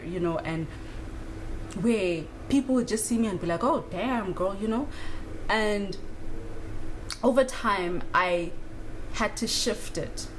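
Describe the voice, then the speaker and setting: A woman around thirty from South Africa, talking through spiritual ideas in a candid, casual monologue with frequent fillers and the odd swear word. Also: lowish voice. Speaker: spiritual vlogger. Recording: clear background noise.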